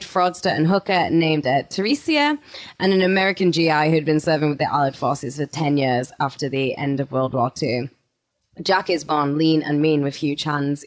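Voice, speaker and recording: A woman with a low voice co-hosts a true-crime podcast. She tells the case in a relaxed way and keeps breaking in with playful asides. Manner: laughs often, playful asides. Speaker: podcast co-host.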